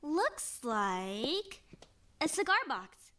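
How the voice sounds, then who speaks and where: A woman voice-acting an earnest, anxious young female investigator in an animated mystery, with an expressive delivery. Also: high voice, drawn-out words, exaggerated voice. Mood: uneasy.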